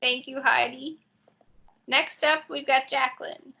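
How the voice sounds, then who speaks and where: Host woman: high, bright, slightly gravelly voice over a muffled video call, brisk roll-call manner. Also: fairly even intonation. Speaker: orientation host. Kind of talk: online orientation session.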